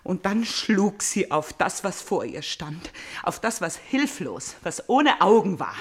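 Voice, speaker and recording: An actress playing a tormented, vengeful princess in a German radio drama, theatrical and moving between breathy whispers and fierce outcry. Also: female voice, fairly low pitch, very animated, unhurried, heavily acted. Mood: hushed, bitter, then fierce, horrified. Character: tormented, vengeful princess, fevered and obsessive, grieving her father.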